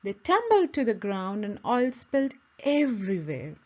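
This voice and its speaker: A woman reading a children's picture book aloud in a measured, expressive way. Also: medium pitch, muffled recording. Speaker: storybook reader. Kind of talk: children's read-aloud narration.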